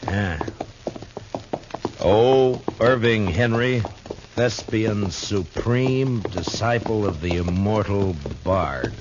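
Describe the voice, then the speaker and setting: An actor playing a steady, laconic American frontier marshal in a terse, understated 1950s radio drama style. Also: male voice, lowish voice, drawn-out words, background noise. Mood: dry, measured. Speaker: radio drama lead and narrator. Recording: muffled vintage radio recording, music.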